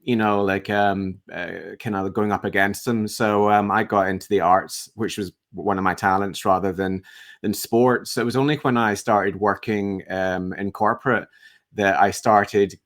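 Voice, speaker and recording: A Scottish man in his fifties chatting as an interview guest, halting and reflective, with a self-deprecating manner. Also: fairly low pitch, steady tone, a bit bright. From west coast of Scotland. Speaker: guest, entrepreneur and coach. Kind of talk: casual interview chat.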